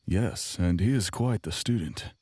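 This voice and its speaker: A man playing a wary, patient spellweaver and tutor in a fantasy audio drama, with husky, formal, restrained speech. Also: low-pitched, a little slow, a little mellow, no room sound. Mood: drily indulgent.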